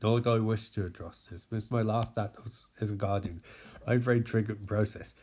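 A man's voice: lowish voice, a little fast, a little mellow, voice pushed down, lightly acted. A man voicing a solemn, resolute alien guardian from a comic book, speaking in a formal, declamatory way.

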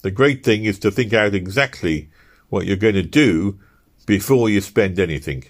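A man's voice: fairly low pitch, expressive, moderate pace. A man voicing an awkward, earnest young working-class husband who stammers. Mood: businesslike. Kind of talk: audiobook character reading.

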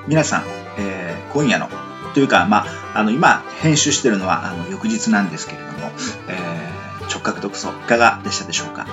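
A man's voice: lowish voice, fairly quick, over music. A man hosting a casual talk show, speaking politely in a rambling, hedging way. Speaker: radio show host.

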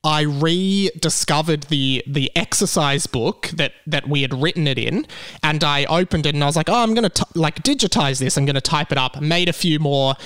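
Higher-voiced man hosting a casual podcast. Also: fairly quick, fairly bright tone, no echo, studio quality. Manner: jokey, self-deprecating. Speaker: podcast host. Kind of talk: casual comedic chat.

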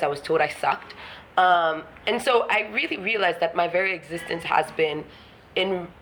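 A woman's voice: lowish voice, a bit bright, a little hiss or hum, poor audio. A woman from Ghana talking frankly and reflectively in an interview, laughing often and swearing casually. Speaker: guest artist.

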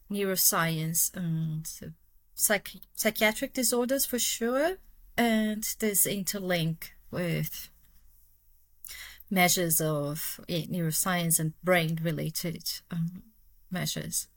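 A woman's voice: fairly low pitch, slow, no echo. Brazilian-accented woman, dry close sound. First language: Portuguese. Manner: careful, many fillers and self-corrections. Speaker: neuroscientist guest. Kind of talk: casual interview talk.

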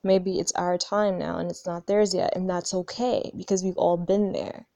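A woman's voice: on the low side, level tone, moderate pace, vocal fry, mellow, no room sound. A woman of twenty-one talking in a candid, rambling way about her spiritual journey, swearing casually and often restarting her sentences. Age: 20s. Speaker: spiritual vlogger. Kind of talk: casual reflective monologue.

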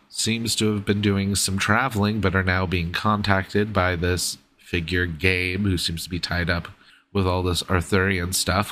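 A man's voice: low voice, sharp tone, slight background noise. A man hosting as game master, sick and congested, partly losing his voice, with a breezy, irreverent delivery. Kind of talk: recapping a tabletop game podcast.

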